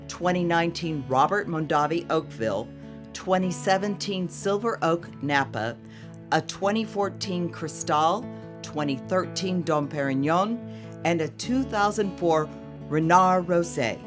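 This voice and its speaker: Woman with a lower voice doing a calm promo read, guitar playing underneath. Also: measured pace. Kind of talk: scripted promo voice-over. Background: music.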